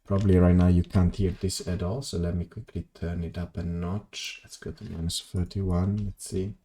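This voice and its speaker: This is a young man with a very deep, mellow voice. He rambles casually to his stream audience, stumbling through ums and restarts and joking about his own mess. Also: level tone, moderate pace. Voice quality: very low voice. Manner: rambling, self-deprecating, many ums and restarts. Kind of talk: casual live-stream chat while gaming.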